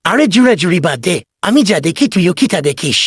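A man voicing a worried, chummy tortoise with a husky voice in a children's cartoon. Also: high-pitched, big pitch swings, quick pace, no echo, clean studio sound, exaggerated voice. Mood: wary. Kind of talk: dubbed children's cartoon dialogue.

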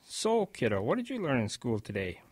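An actor playing a kindly old grandfather with a creaky, husky voice, telling wartime memories gently and with animation in a radio drama. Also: mid-range voice, huge pitch swings, fairly quick. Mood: warm, doting.

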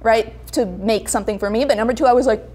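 A young woman chatting casually as an interview guest, animated and acting out conversations as she tells stories. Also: on the high side, fast talker, husky. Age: young adult. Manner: animated storytelling, acting out reported dialogue. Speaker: comic creator, interview guest. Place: indoor brick-walled studio room.

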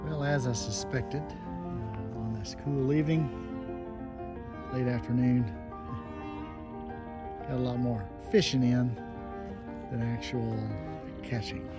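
A man's voice: mid-range voice, slow, long pauses, over loud music. Older man vlogging outdoors on a phone. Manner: relaxed, easygoing, with frequent "uh" fillers. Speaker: RV travel vlogger. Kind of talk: casual travel vlog narration. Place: outdoors at campground, gas station and driveway. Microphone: phone held at arm's length.

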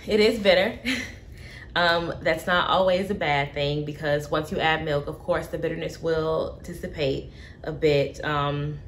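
A young woman with a slightly low voice talks through a coffee tasting in her kitchen, relaxed and unhurried, often pausing on fillers. The recording comes from a camera set in front of her, with a faint hiss in the background. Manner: relaxed, thinking aloud with many fillers. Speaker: coffee vlogger. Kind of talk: casual tasting commentary. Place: home kitchen, indoors.

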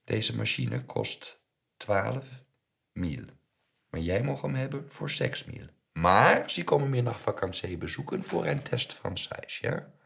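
Man putting on a small, animated old shopkeeper, sixties. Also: mid-range voice, measured pace, creaky, voice pushed up, heavily acted. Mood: brisk, haggling. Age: 60s. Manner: mixes Dutch with French and Yiddish.

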